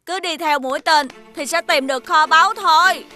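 A woman's voice: high-pitched, moderate pace, sharp tone. A woman voicing a playful magical creature friend who talks in a cheeky, teasing way. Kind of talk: cartoon voice acting.

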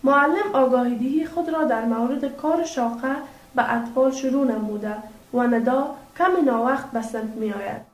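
A woman reading scripted narration for a radio drama. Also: high-pitched. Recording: echoey, faint music behind.

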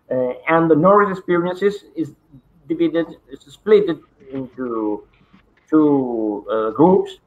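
Halting Spanish-accented man presenting. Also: a little high, expressive. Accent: Argentine Spanish. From Argentina. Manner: hesitant, many fillers, halting English. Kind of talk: online conference presentation. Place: home study with bookshelves. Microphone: webcam video call. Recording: dull lo-fi video call audio.

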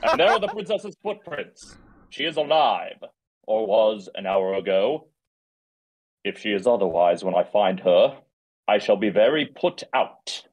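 A man's voice: fairly low pitch, very animated, a little slow, low-quality recording. A man playing a smug, pompous prince with coolly threatening wit, in a live script read. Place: indoors, on a group video call.

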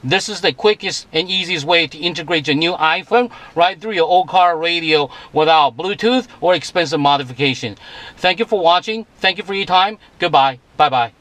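A man in his forties giving a halting, repetitive product demonstration as presenter and seller. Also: a little high, a bit bright, no room sound. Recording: music playing behind the voice. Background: music.

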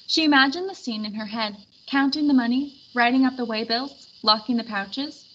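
A woman reading a story aloud as narrator, with expressive scripted delivery. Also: on the high side, normal speed. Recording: dull lo-fi recording with background noise.

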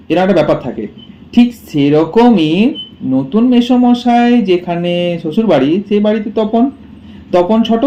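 The recording is dull and lo-fi. A male schoolteacher explains a text phrase by phrase in a soft-edged, relaxed voice pitched a little high. He often repeats key words and puts questions that he then answers himself. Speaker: school literature teacher. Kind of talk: explanatory lesson, reading a story line by line. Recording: dull, muffled lo-fi audio.

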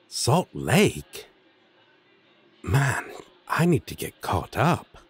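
Husky, flirty demon roommate played by a man. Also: mid-range voice, dry recording, full character voice. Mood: animated, amused. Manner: teasing, casual, drawn-out delivery with dramatic swoops. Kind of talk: scripted audio roleplay addressed to listener.

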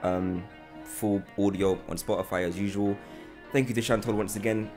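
A man's voice: fairly low pitch, fairly even intonation, normal speed, darkish tone, faint music behind. A young man hosting a podcast in casual chat, often repeating short phrases. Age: young adult. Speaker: podcast host. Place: large bright living room, indoors. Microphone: podcast mic on boom arm.

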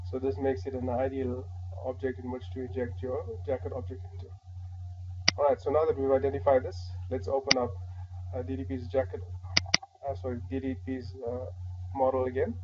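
Flat-voiced man, slightly hoarse tutorial. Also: medium pitch, dull audio, noisy background. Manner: steady step-by-step instruction with frequent "alright" and "uh". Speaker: modding tutorial presenter. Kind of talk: screen-recorded software tutorial.

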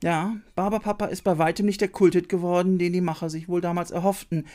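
A man's voice: high voice, level tone, fast talker, dark tone. A middle-aged man presenting a scripted video essay as host of a retro TV channel, recorded on a desk mic in a small room. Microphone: desk microphone. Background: music.

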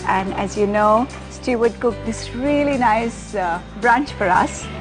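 A woman's voice: a little high, slow. A woman in her thirties with an Indian accent, presenting a cookery show casually and effusively, switching between English and Hindi. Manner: effusive, gushing. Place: open-air veranda beside a garden, at a dining table. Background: music.

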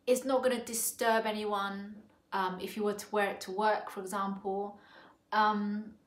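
A woman's voice: medium pitch, flat delivery, measured pace. A woman in her forties from Thailand, reviewing a perfume in a casual, musing way, with many fillers and restarts, recorded at home on a sofa. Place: living room sofa, indoors. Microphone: camera in front at close range. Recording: echoey room.

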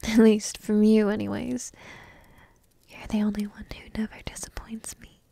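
A woman playing a young dragon princess in an ASMR roleplay, coy, teasing and lovestruck, half-whispering in a soft, intimate, breathy way. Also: mid-range voice, little pitch movement, moderate pace, natural voice. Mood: playful teasing.

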